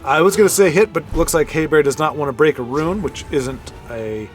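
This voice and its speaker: Brief: male card-game caster, slightly high voice, rambling and self-correcting, casual play-by-play. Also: fairly quick, soft background music. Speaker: card game commentator and show host.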